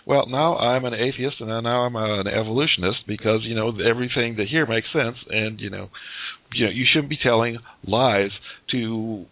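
A man's voice: mid-range voice, normal speed, dry recording. A man hosting a talk show, asking questions and telling his own story in a casual, chuckling way with many fillers. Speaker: show host. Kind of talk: casual interview chat. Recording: dry tinny phone-like line.

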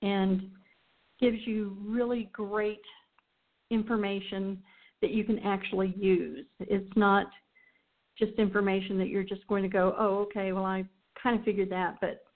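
A woman's voice: medium pitch, level tone, a little slow. A woman hosting a radio show, speaking casually and off the cuff in an informal, rambling way. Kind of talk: casual unscripted show sign-off. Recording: thin, phone-like line.

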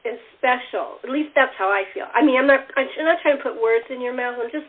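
A woman hosting a casual cast interview, speaking informally and haltingly as she rephrases her questions. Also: high voice. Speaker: interviewer and fellow cast member. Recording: tinny, phone-like narrow band.

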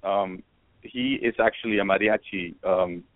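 A man from Ecuador talks as a musician guest on a radio show. His voice is low and comes over a thin, phone-like line with a dry sound. He rambles and hesitates, restarting his sentences often. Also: measured pace, dry recording. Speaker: musician, band founder.

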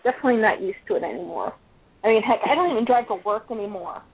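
A woman from Illinois chats as a podcast co-host, talkative and emphatic. Her voice sits a little high and sounds husky and breathy. The audio is narrow and phone-like. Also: lively intonation, rapid-fire. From Illinois, USA. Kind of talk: casual chat. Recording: tinny phone-like call audio.

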